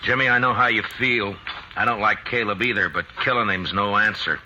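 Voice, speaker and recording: A man playing a steady frontier town marshal in a vintage-style radio drama, speaking calmly and firmly. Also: fairly low pitch, brisk, muffled recording. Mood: calm resolve. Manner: calm, firm, gentle. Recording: noisy audio.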